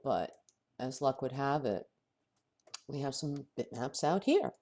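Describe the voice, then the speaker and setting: A man with a head cold and a fading voice, teaching a software tutorial while he thinks aloud, halting often and correcting himself. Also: medium pitch, lively intonation. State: head cold, losing his voice. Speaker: software instructor. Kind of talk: screen-recorded software tutorial.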